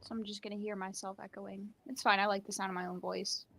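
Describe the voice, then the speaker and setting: A woman's voice: mid-range voice, little pitch movement, fast, gravelly, pushed and bright, breathy edge, dry recording. A woman co-hosting a casual book discussion on a live stream, giving blunt, informal opinions. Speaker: co-host of a book readalong live show.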